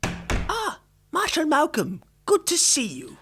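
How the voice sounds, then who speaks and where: A man's voice: extremely high pitch, wildly swooping pitch, takes it slowly, a bit bright, slight background noise, heavily acted. A man playing a fussy, bigoted, pompous tailor in a western radio serial, with exaggerated, effusive delivery. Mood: effusive greeting.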